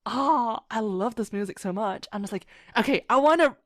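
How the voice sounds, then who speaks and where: A woman's voice: a little high, lively intonation, normal speed, dry recording. Chatty, enthusiastic woman with dry sound. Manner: enthusiastic, rambling, acts out her own thoughts. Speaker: podcast guest, fan fiction writer. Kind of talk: casual interview chat.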